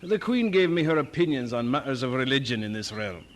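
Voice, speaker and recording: An actor playing a stern, proud Scottish preacher in a radio drama, speaking with a Scottish accent. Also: male voice, medium pitch, very animated, a little fast, natural voice. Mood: scornful.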